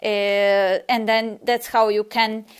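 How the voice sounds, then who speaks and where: A woman's voice: medium pitch, level tone, slow, clear, resonant tone. A woman whose first language is Serbian, giving a casual language lesson and answering a viewer, with frequent hesitations and restarts. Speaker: language teacher.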